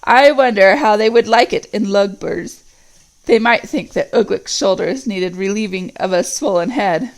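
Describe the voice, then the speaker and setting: A woman plays a scheming orc messenger who speaks softly but with venom. The voice is quieter than the others and sneers, with a gravelly rasp. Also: mid-range voice, moderate pace, natural voice, rough recording. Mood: sneering. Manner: soft, sneering and menacing.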